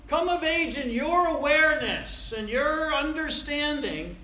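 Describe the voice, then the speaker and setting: A man's voice: extremely high pitch, animated, a little slow, pushed and bright. A man in his sixties preaching a sermon in a folksy, conversational way, asking rhetorical questions and repeating phrases for emphasis. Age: 60s. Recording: echoey room, faint background noise.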